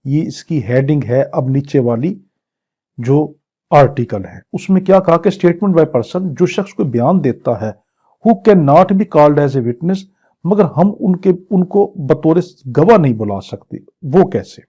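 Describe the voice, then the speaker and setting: A man giving a law lecture, reading English legal clauses slowly and then explaining them. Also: a little high, expressive, warm tone, breathy edge. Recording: dull lo-fi recording.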